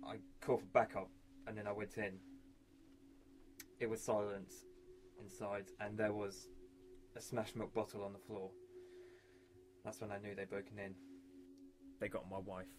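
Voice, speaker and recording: A man's voice: lowish voice, a little hiss or hum, close to an everyday voice. A man playing a tough, abrupt ex-cop haunted by loss, speaking tersely in an amateur radio drama. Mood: grim, grieving.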